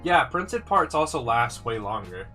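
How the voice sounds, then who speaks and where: A man chats through a live build from his room, answering viewers' comments as he goes. His voice is bright and a little congested, and the pitch sits at an ordinary middle. Faint music plays in the background. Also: sing-song, a little slow. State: slightly congested. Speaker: live streamer building sets. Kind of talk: casual live-stream chat. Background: faint music playing.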